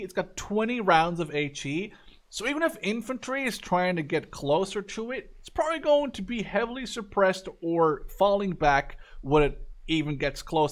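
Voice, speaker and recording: A man in his twenties giving casual, enthusiastic game commentary, informal and swearing freely. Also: high-pitched. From Canada or Netherlands, Dutch. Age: 20s. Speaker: gaming video creator.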